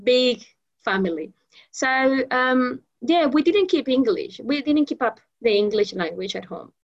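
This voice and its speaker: Female guest from Venezuela, Spanish first language, slightly high pitch, halting delivery, dull lo-fi recording. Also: expressive. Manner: hesitant, many fillers and restarts. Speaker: guest, professional trainer and mentor. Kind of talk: interview conversation.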